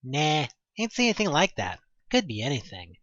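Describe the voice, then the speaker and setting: A man acting a gruff horror companion, muffled lo-fi. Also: fairly high pitch, huge pitch swings, moderate pace, fairly bright tone, no room sound, exaggerated voice. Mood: dismissive. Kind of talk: horror audio drama dialogue.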